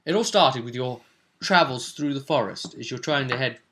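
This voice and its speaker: A man's voice: medium pitch, moderate pace, fairly bright tone. A man hosting as game master, casually recapping a tabletop role-play session in a rambling, descriptive way.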